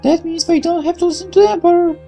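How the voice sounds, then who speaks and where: A woman's voice: extremely high pitch, fairly even intonation, fast talker, gravelly, mellow, soft background music, pitched above the performer's own voice. A woman voicing a young, cautious female factory worker, earnest and protective, in a visual novel game. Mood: urgent warning.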